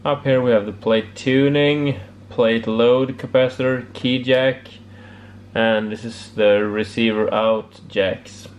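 Man with halting delivery, noisy room. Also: mid-range voice, steady tone. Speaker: amateur radio hobbyist presenting homebrew project. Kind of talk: casual unscripted demonstration. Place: indoor home workshop. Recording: clear background noise, room ambience.

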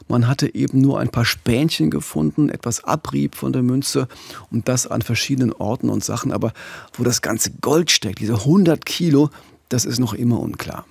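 Middle-aged male podcast host, slightly creaky voice. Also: mid-range voice, moderate pace, a little mellow, no echo. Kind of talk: conversational true-crime podcast narration. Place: small radio studio. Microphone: studio microphone with pop filter, headphones.

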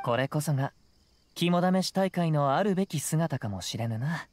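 A man voicing a serene, poetic wandering samurai in an anime game, with measured, archaic phrasing. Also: on the high side, lively intonation, a little mellow, lightly acted. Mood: calm, pensive.

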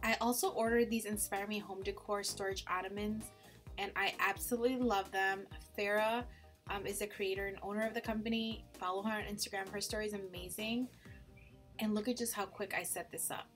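A woman's voice: mid-range voice, fairly even intonation, a little fast, fairly bright tone, soft background music. A woman vlogger narrating a casual home cleaning video in an informal, gushing way.

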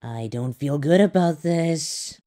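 A man playing an anxious, fussy, defensive little demon husband in an animated fan audio drama. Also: fairly high pitch, slow.

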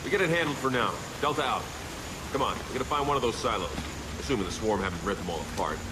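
An actor playing a brisk, commanding young soldier who leads a squad in a video game. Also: male voice, medium pitch, expressive, noisy background, lightly acted. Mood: grim. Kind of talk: video game cinematic acting.

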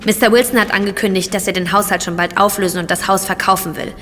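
An actress playing a frightened, earnest young housemaid in an audio drama. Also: female voice, mid-range voice, fairly even intonation, quick pace, fairly bright tone, natural voice. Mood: shaken. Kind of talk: German audio drama acting. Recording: long reverberant hall, faint noise.

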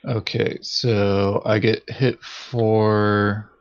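A man's voice: lowish voice, flat delivery, drawn-out words, smooth. A man playing a board game, chatting casually with droll, joking asides. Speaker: tabletop game player. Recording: dull, lo-fi microphone sound.

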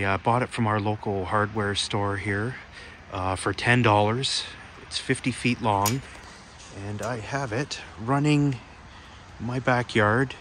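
Low-voiced man, outdoor noise. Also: fairly bright tone. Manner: plain-spoken, explanatory. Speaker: hobbyist video presenter. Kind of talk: casual unscripted demonstration. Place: outdoors on a backyard patio at night. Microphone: handheld phone camera. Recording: outdoor phone video with background noise.

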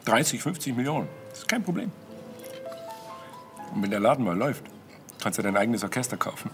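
A man playing a smooth, haughty count in a crime drama, persuasive and self-important. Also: mid-range voice, animated, fairly quick, over music, natural voice. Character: smooth-talking, haughty male count and property promoter. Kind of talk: German TV crime drama acting.

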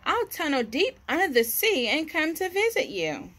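An eager little chimp from a storybook, read by a woman. The voice is high and bright, friendly and full of exclamation. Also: huge pitch swings, moderate pace, full character voice.

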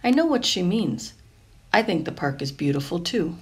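A woman reading a children's picture book aloud in an expressive, deliberate storytelling way, lingering over phrases. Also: on the low side. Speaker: storybook reader.

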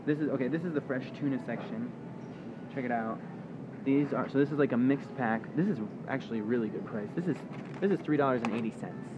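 A man's voice: mid-range voice, fast talker. Chatty young man vlogging in a supermarket. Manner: chatty, offhand, jokey. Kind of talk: casual walking tour commentary. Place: indoor supermarket fish aisle. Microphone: handheld camera held close. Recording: dull lo-fi camera audio with loud store noise. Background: loud supermarket hum and bustle.

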